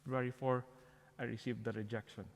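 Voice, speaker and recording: A man's voice: mid-range voice, little pitch movement, brisk, gravelly. A middle-aged man with a Filipino accent, talking conversationally as an interview guest and telling personal stories. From Philippines. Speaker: guest, parish outreach coordinator. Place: church, before the altar. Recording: long echoey reverb of a large hall.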